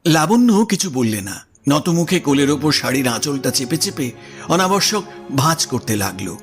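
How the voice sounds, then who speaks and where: A man's voice: a little high, big pitch swings, normal speed, faint music behind. A man narrating a literary story from a script, as the narrator of an audio drama.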